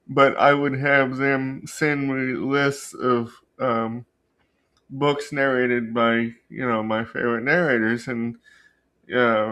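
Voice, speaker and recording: A man hosting a book channel, telling a story casually and unscripted, haltingly searching for words and often rephrasing himself. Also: medium pitch, fairly bright tone. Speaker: book review channel host.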